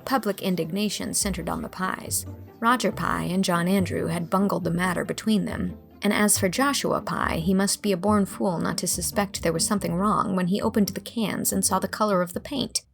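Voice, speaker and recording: A woman's voice: mid-range voice, steady tone, moderate pace, creaky, soft background music. A woman reading scripted narration for a classic storybook.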